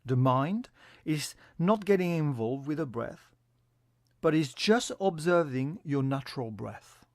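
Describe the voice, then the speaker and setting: A man giving a guided yoga nidra meditation as an instructor, in a calm, soothing, instructional manner. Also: on the high side, unhurried, no echo, clean studio sound.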